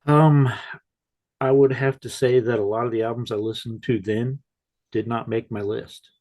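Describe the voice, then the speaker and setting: A man's voice: medium pitch, fairly even intonation, moderate pace, darkish tone. A man co-hosting a casual music chat, tired from no sleep, with dry, self-mocking humor. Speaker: co-host.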